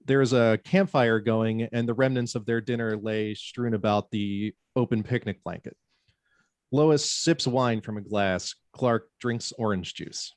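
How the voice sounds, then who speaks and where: A man's voice: fairly low pitch, measured pace, no room sound, clean studio sound. A man narrating scripted stage directions during a table read.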